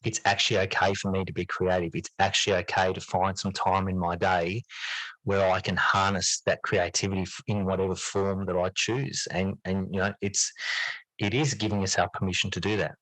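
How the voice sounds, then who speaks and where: A man with a low voice talks reflectively as a podcast guest. He is earnest, repeating and reworking phrases as he goes. The sound is dry, with very little room around it. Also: level tone, fairly quick, fairly bright tone, dry recording, low-quality recording. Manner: earnest, repeats and rephrases himself as he thinks. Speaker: podcast guest, teacher and writer. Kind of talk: reflective interview talk.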